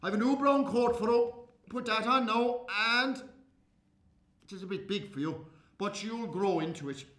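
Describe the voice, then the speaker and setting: Man putting on a booming Irish shopkeeper. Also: moderate pace. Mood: bossy. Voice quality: loud, gushing, high-pitched. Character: jovial booming male hardware shopkeeper, middle-aged. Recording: echoey room.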